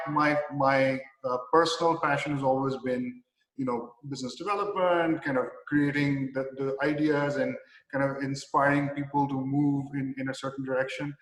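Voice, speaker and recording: A man in his forties with a Pakistani accent, a company president answering interview questions in a halting, easygoing way with many restarts. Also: a little high, a bit bright. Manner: halting, many restarts and "you know" fillers, easy chuckles. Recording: echoey room with long reverb.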